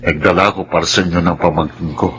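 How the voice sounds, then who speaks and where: An actor playing a kindly, protective elder brother with a conciliatory manner in a Filipino radio drama. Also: male voice, low voice, steady tone, fast talker, background noise, close to an everyday voice. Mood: soothing.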